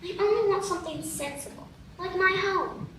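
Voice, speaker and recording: A woman's voice: very high-pitched, normal speed, fairly bright tone, slight background noise. A woman playing a curious, polite young girl in a live radio play, with prim, proper diction. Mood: exasperated. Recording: echoey live stage from far off.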